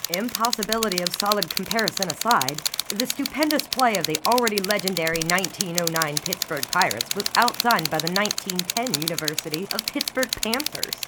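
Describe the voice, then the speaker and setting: A woman's voice: medium pitch, animated, a little fast, few pauses, very noisy, lightly acted. A woman playing a literate 1920s sports fanatic, narrating her memoirs in florid, theatrical, old-fashioned phrasing. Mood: proud, fond. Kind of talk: scripted first-person memoir narration. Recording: loud typewriter clatter behind the voice.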